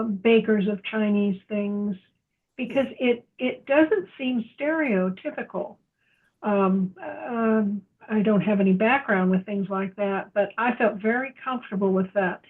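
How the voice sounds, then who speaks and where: A woman in the audience asking a question on a video call, halting and restarting. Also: mid-range voice. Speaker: audience member. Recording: tinny phone-like line.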